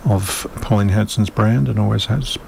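A male community radio host with a gravelly, lowish voice. He talks in a loose, rambling, wry way, full of ums and asides. Faint noise sits under the studio recording. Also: normal speed, darkish tone. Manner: rambling, wry, with frequent fillers. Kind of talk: casual radio interview.

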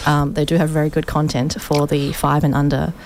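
A woman's voice: low-pitched, monotone, brisk, background noise. A woman from Sydney, a guest on a community radio show, chatting in a thoughtful way with many fillers. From Australia, Sydney. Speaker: studio guest. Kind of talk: casual radio interview.

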